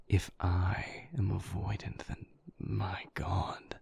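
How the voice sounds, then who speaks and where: Moody male vampire lover, acted by a man, gravelly and very breathy, cutting and deliberate, intimate roleplay audio. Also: low-pitched, level tone, heavily acted. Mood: accusing. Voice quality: breathy, husky, gravelly half-whisper. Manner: sardonic, cutting, deliberate phrasing.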